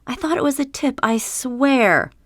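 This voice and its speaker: A woman's voice: high-pitched, expressive, a little slow, no room sound, clean studio sound, voice pushed up. A woman voicing a young woman thief who insistently pleads innocence, in an audiobook. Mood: pleading, defensive.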